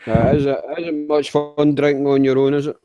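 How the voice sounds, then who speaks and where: Chill guy in a stream chat, mellow tone, dry close sound. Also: a little high, level tone, normal speed, clear, resonant tone, dry recording, muffled recording, faint music behind. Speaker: livestream chat participant. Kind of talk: casual group chat.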